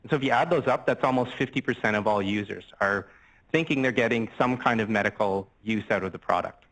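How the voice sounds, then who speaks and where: Older man giving a relaxed medical lecture. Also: lowish voice, fast, rough recording. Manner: explains with everyday analogies and asides. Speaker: physician lecturer. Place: lecture room. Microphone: handheld mic, swapped mid-talk.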